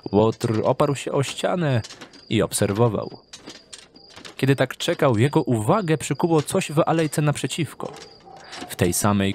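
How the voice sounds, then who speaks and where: Male storyteller, medium pitch, scripted dark fantasy narration, audible noise bed behind.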